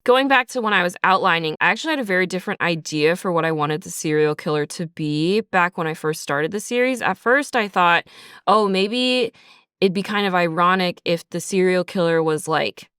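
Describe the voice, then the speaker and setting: A woman's voice: fairly low pitch, lively intonation, moderate pace, fairly bright tone, clear, resonant tone, no echo, studio quality. A woman guest speaking casually and reflectively as a writer in an interview, thinking aloud as she goes. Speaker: writer and creator of an audio drama, guest. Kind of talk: casual behind-the-scenes interview.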